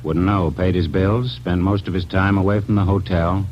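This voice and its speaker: An actor playing a fussy, grudging hotel manager in a 1940s radio drama, talking in curt, clipped fragments. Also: male voice, deep voice, fairly even intonation, moderate pace, natural voice. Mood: guarded, curt. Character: grudging, penny-minded male hotel manager, fussy and unhelpful. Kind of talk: 1940s radio character acting. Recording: dull, faintly noisy vintage radio recording.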